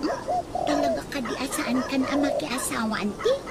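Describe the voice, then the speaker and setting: Young niece played by a woman, cheeky and nosy, high voice, Ilocano accent, loud chicken and dog ambience. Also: sing-song, brisk. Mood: cheeky, teasing. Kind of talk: Ilocano radio comedy drama acting. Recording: loud background noise with chickens and dogs.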